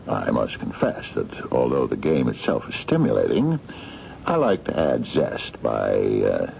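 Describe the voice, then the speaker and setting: A man's voice: extremely low pitch, vocal fry, dark tone, full character voice. An actor in a radio mystery drama playing an eccentric, courtly man of mystery, formal, hesitant and coaxing. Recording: dull, noisy 1970s radio drama recording.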